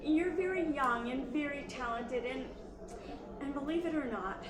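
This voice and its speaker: A woman playing a composed, well-meaning playwright's wife in a measured, theatrical radio play style. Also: high voice. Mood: patronizing, kind. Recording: roomy echo, faint noise.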